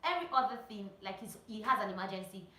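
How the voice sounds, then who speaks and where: A woman giving casual, animated instructional vlog talk, telling anecdotes and repeating phrases for emphasis. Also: medium pitch, quick pace. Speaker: vlogger and pilot sharing training tips. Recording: roomy echo.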